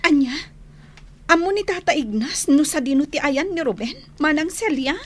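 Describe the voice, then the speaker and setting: High-voiced young lady. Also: expressive, moderate pace. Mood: curious, concerned. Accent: Ilocano. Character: young lady Diana, inquisitive señorita. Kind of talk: Filipino radio drama acting.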